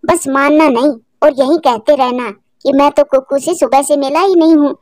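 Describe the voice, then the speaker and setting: A woman's voice: high-pitched, fairly even intonation, normal speed, darkish tone, no room sound, studio quality, lightly acted. A woman voicing a sly, scheming mother crow, defensive and boastful, in a cartoon. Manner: scheming, indignant.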